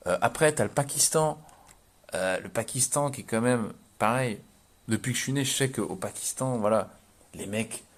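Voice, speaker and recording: A man's voice: fairly low pitch, breathy edge. A man in his thirties giving casual, slangy explanatory commentary, thinking aloud with hesitations and repetitions. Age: 30s. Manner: informal, slangy speech. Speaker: vlogger.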